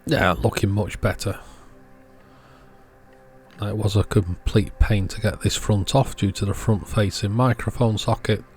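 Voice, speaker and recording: A man's voice: fairly low pitch, steady tone, a little slow, darkish tone, soft background music. A man giving an informal how-to voice-over in short, matter-of-fact sentences, as a repair channel host.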